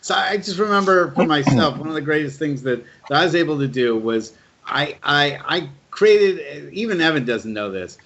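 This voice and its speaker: A man's voice: fairly high pitch, expressive, moderate pace, creaky, a bit bright, a little hiss or hum. A man co-hosting a podcast, chatting casually and conversationally. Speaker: podcast co-host. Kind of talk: casual chat. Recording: dull lo-fi call audio.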